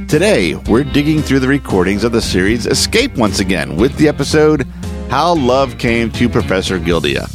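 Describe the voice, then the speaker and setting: A man's voice: mid-range voice, sing-song, moderate pace, over music. A man hosting a podcast, reading a scripted introduction. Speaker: podcast host.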